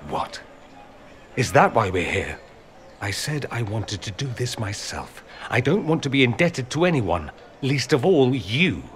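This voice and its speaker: Proud, prickly nobleman, animated. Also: mid-range voice, measured pace. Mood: resentful. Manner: haughty, indignant, clipped. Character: proud, prickly young nobleman hating to be indebted. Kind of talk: video game dialogue acting.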